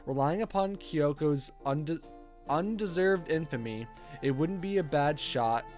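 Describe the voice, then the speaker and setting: A man's voice: on the high side, animated, unhurried, a little mellow. A man streaming a visual novel, reading its narration aloud and adding casual commentary. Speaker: game streamer. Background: game music.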